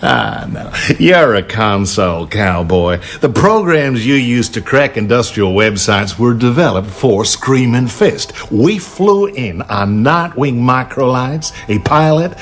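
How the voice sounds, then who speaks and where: Commanding military veteran, lively intonation. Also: male voice, mid-range voice. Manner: smug, deliberate, authoritative. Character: commanding male ex-special-forces war veteran recruiter, cool and menacing. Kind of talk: radio drama acting.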